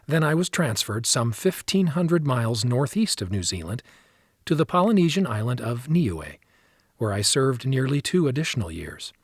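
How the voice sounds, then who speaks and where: A male narrator reads scripted audiobook text in a mid-pitched voice with a husky grain. The sound is dry, with no room around it. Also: dry recording.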